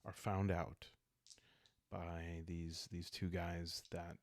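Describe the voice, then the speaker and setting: A man calmly thinking aloud in an unhurried, casual way as he plays and narrates a solo roleplaying game. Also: low-pitched, no echo, studio quality. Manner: calm, unhurried, musing, meant for relaxed listening. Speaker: host and solo player of a journaling roleplaying game.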